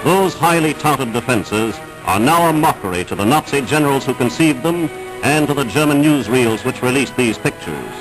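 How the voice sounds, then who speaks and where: Male 1940s newsreel narrator, mid-pitched, emphatic and declamatory, with prominent music behind. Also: animated, normal speed, poor audio. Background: dramatic newsreel music.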